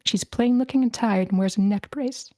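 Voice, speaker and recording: A woman's voice: on the high side, moderate pace, a little mellow, dry recording. Dry-sounding woman narrating a screenplay. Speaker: narrator reading stage directions. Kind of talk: screenplay narration at a table read. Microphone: video call.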